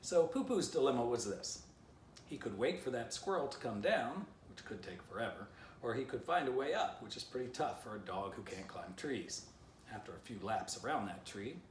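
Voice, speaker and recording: Low-voiced man reading a children's story, roomy sound. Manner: playful direct address to the listener. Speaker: author reading aloud. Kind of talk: children's storybook narration with chatty asides. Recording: roomy, distant mic, faint noise.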